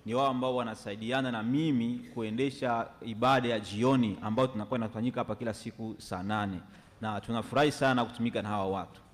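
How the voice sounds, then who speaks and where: A young male pastor interprets church announcements at a quick clip, his mid-range voice carrying fluently through a large sanctuary. A long reverb trails each phrase from the stand mic. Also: mid-range voice, steady tone. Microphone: stand microphone at a lectern.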